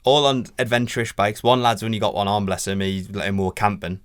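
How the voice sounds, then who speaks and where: A man's voice: fairly low pitch, fairly even intonation, a little fast, a bit bright, clear, resonant tone, no echo, clean studio sound. A man, co-hosting a podcast, swearing and bantering in casual chat. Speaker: co-host.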